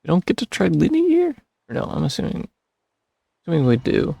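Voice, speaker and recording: A man's voice: fairly high pitch, wildly swooping pitch, brisk, creaky, warm tone, no room sound. A man giving casual gameplay commentary, rambling and thinking aloud in a self-deprecating way. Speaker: streamer playing a video game.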